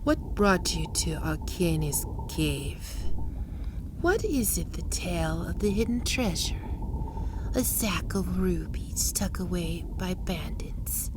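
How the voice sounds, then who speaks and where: A woman voicing a sly, predatory spider-woman who taunts her trapped prey in slow, breathy whispers for an ASMR roleplay. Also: on the low side, animated, noisy background. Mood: taunting. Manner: taunting, teasing questions.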